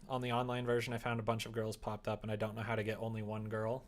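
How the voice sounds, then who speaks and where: A man's voice: fairly low pitch, completely flat delivery, quick pace. A man chatting casually as a streamer, rambling and thinking aloud while he works through a tutorial. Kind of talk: casual livestream chat and tutorial while working. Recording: clear background noise, keyboard typing.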